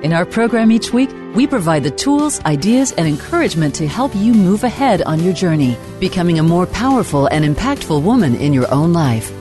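A woman announcing a show in a lowish voice that moves up and down with lively swings. Her read is scripted and polished. Clear music plays underneath her. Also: fairly quick, few pauses.

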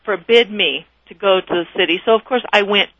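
A woman, a guest telling her story in a radio interview, lively and animated. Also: medium pitch, brisk, no echo, lo-fi sound. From Texas, raised in California.

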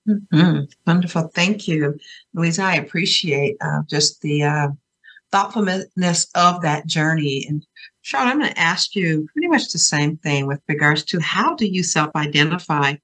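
A woman's voice: low-pitched, lively intonation, normal speed, fairly bright tone. A woman hosting an interview, thanking guests and asking direct questions. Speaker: host.

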